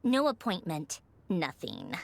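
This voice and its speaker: A woman's voice: low voice, wildly swooping pitch, takes it slowly, creaky, no echo, exaggerated voice. A woman playing a haughty, refined noblewoman in a video game, dryly gracious with a teasing manner. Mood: haughty, put-upon. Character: haughty young noblewoman villain-turned-ally, dryly gracious.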